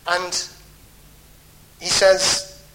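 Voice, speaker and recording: A man preaching a sermon in an earnest, pleading way, his voice lifting for emphasis and dropping soft at the ends of phrases. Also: sits high, monotone, drawn-out words, a little hiss or hum, low-quality recording. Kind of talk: sermon on a psalm.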